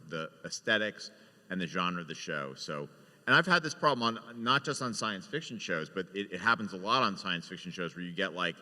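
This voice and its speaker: A man's voice: low voice, sharp tone. A man speaking as a television showrunner on a panel, explaining his points with emphatic repetition. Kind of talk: panel discussion. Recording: very echoey hall with long reverb.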